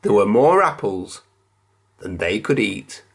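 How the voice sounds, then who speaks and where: A storyteller reading a picture book to young children. The delivery is unhurried and expressive, with animated pitch and a slightly husky tone.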